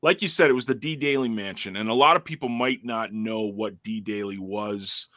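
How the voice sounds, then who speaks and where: A man hosting a talk show, telling a story in an energetic way and often restarting his words. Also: lowish voice, normal speed, no room sound, clean studio sound. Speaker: show host. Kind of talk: casual storytelling chat.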